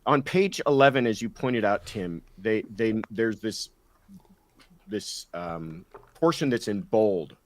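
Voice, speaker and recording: A man's voice: on the low side, expressive, takes it slowly, dry recording. A man in a conversational legal discussion, talking in a polite, questioning way as a panelist.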